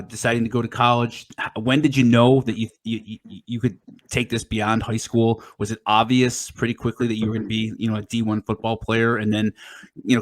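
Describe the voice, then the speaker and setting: A man hosting a sports interview, asking questions in an eager, upbeat way. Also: fairly low pitch. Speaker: host.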